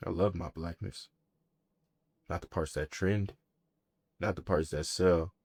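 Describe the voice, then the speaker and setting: A man performing a scripted spoken-word monologue in a measured, deliberate, reflective way, in short weighted phrases. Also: deep voice, fairly even intonation, darkish tone, husky, no room sound.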